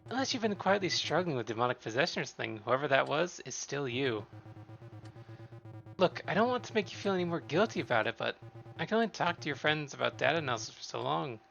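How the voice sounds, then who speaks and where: A man voicing a supportive close friend who is reassuring, frank and gently teasing in story dialogue. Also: on the high side, lively intonation, quick pace, gravelly, voice pushed up. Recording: dull lo-fi sound, faint music.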